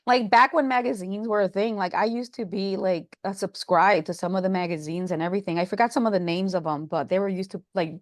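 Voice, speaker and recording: A woman's voice: mid-range voice, brisk, few pauses, no room sound, studio quality. A woman from Puerto Rico, a guest health coach, talking casually with many fillers. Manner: chatty, many fillers like "you know" and "um". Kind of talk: casual interview.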